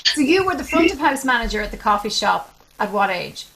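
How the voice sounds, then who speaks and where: A woman's voice: on the high side, very animated, brisk, vocal fry, bright, forceful tone. A middle-aged woman hosting an interview, asking encouraging questions and summing up the guest's points. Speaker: interviewer host.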